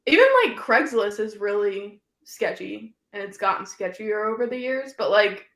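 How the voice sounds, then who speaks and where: A woman's voice: medium pitch, moderate pace, fairly bright tone, roomy sound. A woman hosting a casual video-call chat about books, joking and giggling often. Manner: giggly, jokey, over-caffeinated. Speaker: host.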